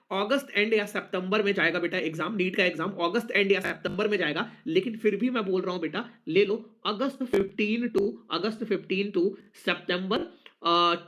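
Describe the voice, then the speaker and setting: A man's voice: high-pitched, sharp tone. A young Indian man speaking as an online teacher to his students, informally and emphatically, repeating key phrases and mixing in English words. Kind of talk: informal live lesson addressed to students.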